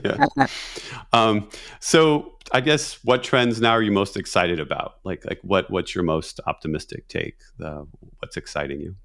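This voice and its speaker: A man hosting a podcast, asking questions in a relaxed, friendly way with hesitant fillers. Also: sits low, measured pace. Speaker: podcast host. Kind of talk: interview questions and show segue.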